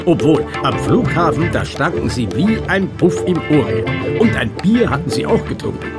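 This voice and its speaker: A man's voice: a little high, very animated, normal speed. An actor playing a fussy, obsessively lovesick male dermatologist in a radio drama. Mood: eager, fussy. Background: loud music with guitar.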